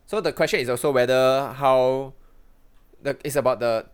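A man's voice: medium pitch, normal speed, smooth. A man, co-hosting a podcast in casual, teasing banter. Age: 30s. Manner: joking, teasing, laughs often. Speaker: podcast co-host.

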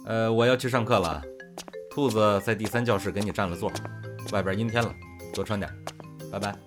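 A man's voice: on the low side, a little fast, music in the background, lightly acted. A man voicing a laid-back, teasing young college roommate in a campus audio drama. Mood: wry, caring.

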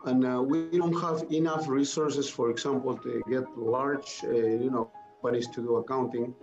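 A middle-aged or older man talking cautiously and haltingly on a business call as a prospective client. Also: medium pitch, level tone. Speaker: small accounting business owner, prospective client. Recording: echoey, dull lo-fi call audio with music. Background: music.

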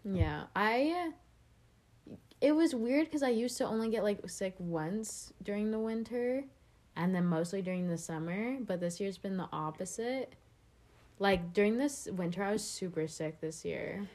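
A young woman chatting casually with a friend, relaxed and rambling, laughing often. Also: medium pitch, unhurried. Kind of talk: casual chat.

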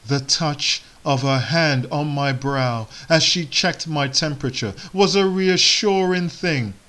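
A man whose first language is Igbo, giving a sermon in slow, measured phrases with solemn pauses. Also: on the high side, bright, forceful tone. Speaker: preacher.

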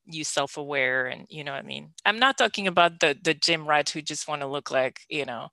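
A woman's voice: sits low, fairly quick, sharp tone, dry recording. A woman from Belgium hosting a podcast and talking candidly about her love life, laughing often and restarting her sentences. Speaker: podcast host. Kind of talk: candid personal conversation.

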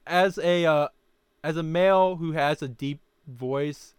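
A man's voice: fairly high pitch, measured pace, clean tone, no echo, clean studio sound. A young man co-hosting a casual podcast chat, often restarting his sentences. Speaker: podcast co-host.

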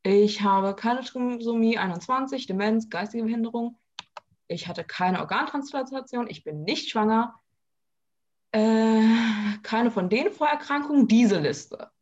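A teenage woman talking from her apartment in a casual chat, with dry, deadpan humor. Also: fairly high pitch, measured pace, highs cut off, slight background noise. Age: teens.

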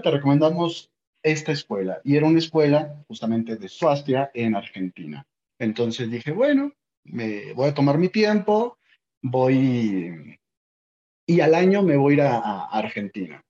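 A man's voice: mid-range voice, mellow, lo-fi sound. A man in his late thirties from Sonora, Mexico, with a northern Mexican accent, talking animatedly as an interview guest. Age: 30s. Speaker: guest, yoga teacher. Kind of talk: casual interview storytelling.

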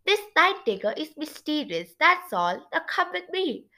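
A woman voicing a confident, curious female storybook sleuth in a congested, nasal voice, with a brisk, teasing manner. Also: sits high, very animated, pushed and bright, voice pushed up, exaggerated voice. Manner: brisk, self-assured, teasing.

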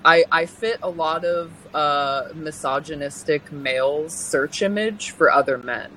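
Slow, halting woman with a deep voice. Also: fairly bright tone, slight background noise, low-quality recording. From California. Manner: halting, earnest, many pauses and restarts. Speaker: guest. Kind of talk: personal testimony in an interview.

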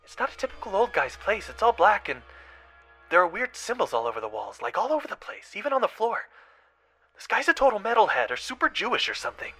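A man's voice: high-pitched, huge pitch swings, fast talker, pushed and bright, faint music behind, voice pushed up, exaggerated voice. A man voicing a nervous, profane young woman thief in a story, whispering and slangy. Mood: hushed, uneasy.

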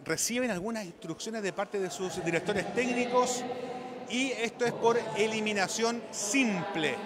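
A Chilean man doing live commentary and short interviews as a field reporter, improvising in a halting, repetitive way. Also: high-pitched, a loud crowd. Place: live at a sports venue. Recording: clear urban background noise.

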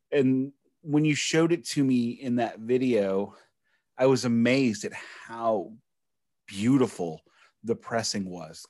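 A man's voice: mid-range voice, a little mellow, dry recording, clean studio sound. A man co-hosting a podcast, chatting enthusiastically with a joking touch. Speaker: podcast co-host. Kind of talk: casual podcast chat.

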